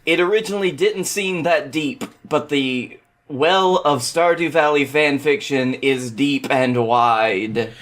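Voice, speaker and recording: A man's voice: on the high side, slow, hardly any pauses, fairly bright tone. A man talking as a podcast guest, casually explaining things with a wry, sometimes sweary manner.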